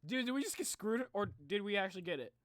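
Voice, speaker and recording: A man's voice: high voice, lively intonation, fast, a bit bright, no echo, studio quality. A man doing casual live game commentary as a streamer, talking in slangy, reactive exclamations.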